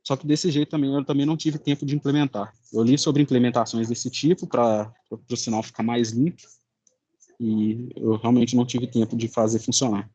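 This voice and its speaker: A young man speaks with a Brazilian Portuguese accent at a medium pitch. He explains his work like a student presenting, colloquial and a little halting. The sound is dry, with very little room in it. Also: steady tone, warm tone, slightly rough, no echo, highs cut off. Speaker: student presenting a final project. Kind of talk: informal student presentation and discussion.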